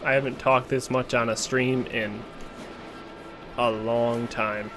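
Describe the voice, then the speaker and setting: A man with a voice worn hoarse from talking, chatting casually with his stream audience while playing a console game and reading chat aloud. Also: mid-range voice, slow. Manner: chatty, reads chat aloud, bursts into loud excited exclamations. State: losing his voice, sore throat. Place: at home playing a console shooter. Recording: loud game noise behind voice. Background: game gunfire, artillery and vehicles.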